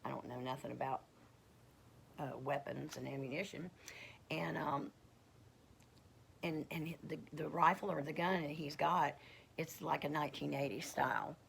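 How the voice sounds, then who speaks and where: A middle-aged woman with a croaky voice, giving a casual personal testimony in a rambling, halting way with many fillers and restarts. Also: deep voice, fairly even intonation, a little hiss or hum. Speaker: homestead vlogger.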